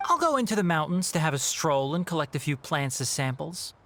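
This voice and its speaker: Highish, lively, wry young botanist. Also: male voice, a little fast, a little hiss or hum, heavily acted. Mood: matter-of-fact. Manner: wry, weary politeness. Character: wry, exasperated young male botanist, dry and patient. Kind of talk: scripted video game voice acting.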